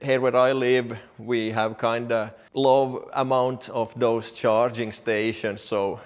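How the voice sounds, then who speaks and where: A man's voice: medium pitch, level tone, slow. A man from Finland, a technician talking directly and plainly to viewers as he hosts an explanatory car vlog in English. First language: Finnish or Swedish. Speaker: car technician and video host.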